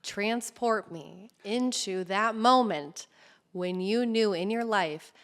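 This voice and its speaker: A woman with a voice of middle pitch hosts an interview. She asks her questions politely and at a measured pace.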